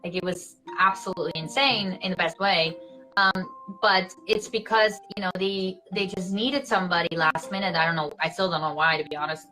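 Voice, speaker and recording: A woman's voice: lowish voice, brisk, faint music behind, rough recording. A young woman from Switzerland with a Swiss accent, an actress chatting casually as a guest on an interview show, full of "like" and "you know". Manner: animated, fillers like "like" and "you know".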